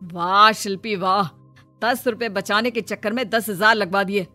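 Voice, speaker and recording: Woman doing a nagging fed up husband in a cartoon story, medium pitch, lots of complaining, light music behind. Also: fairly even intonation, normal speed, bright, forceful tone, natural voice. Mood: scolding. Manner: complaining, reproachful. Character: exasperated, scolding husband of a thrifty wife. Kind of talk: Hindi cartoon moral story acting.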